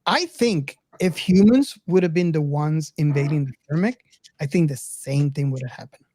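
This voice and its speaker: A man's voice: on the high side, moderate pace, darkish tone, dry recording. A man in a casual film discussion, earnest and insistent, repeating his phrases to make a point. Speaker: podcast co-host.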